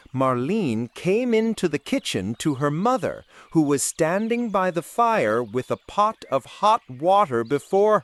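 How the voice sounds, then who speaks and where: A man narrating a dark fairy tale in a dramatic storytelling style. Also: on the high side. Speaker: audiobook narrator.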